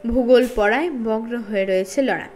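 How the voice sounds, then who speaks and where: A woman reading a children's story aloud in an expressive, dramatized narrating style. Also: fairly high pitch, moderate pace. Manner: dramatized, acting out dialogue. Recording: faint music bed. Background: soft background music.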